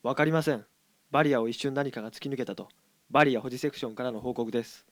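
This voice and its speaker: A man's voice: mid-range voice, quick pace, lightly acted. A man playing a tense spaceship crewman who gives clipped, formal reports in an amateur radio drama. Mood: urgent, formal.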